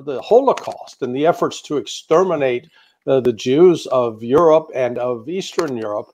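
An American man answering interview questions as a guest analyst, speaking in measured phrases with frequent pauses, fillers and restarts. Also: a little high, a little mellow, poor audio. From United States. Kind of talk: interview commentary.

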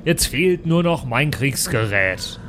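Guy as grumbly dwarf, dry n stiffly polite, medium pitch, bit of background noise. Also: expressive, slow, a bit bright. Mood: dry, aggrieved. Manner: dry, formal, aggrieved.

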